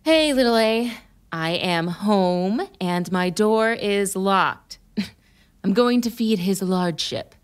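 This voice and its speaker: An actress playing a tough, wry investigator in a noir audio drama, with a sardonic, casual way of talking. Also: female voice, mid-range voice, takes it slowly, natural voice. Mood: playful, tired.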